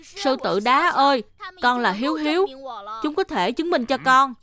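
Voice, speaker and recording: An earnest little schoolboy in a children's cartoon. The voice is high and bright, excitable and sincere, with music playing behind it. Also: female voice, normal speed. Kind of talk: dubbed children's cartoon acting.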